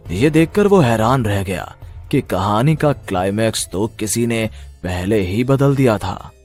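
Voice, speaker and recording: A man's voice: lowish voice, lively intonation, unhurried, a little mellow, soft background music. A man narrating a scripted horror cartoon story in a dramatic storytelling manner.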